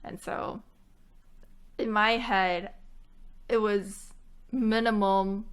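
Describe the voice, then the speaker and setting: A woman's voice: medium pitch, steady tone, very slow, clear, resonant tone. Chatty woman podcast co-host. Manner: chatty, laughs often. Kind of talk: casual chat.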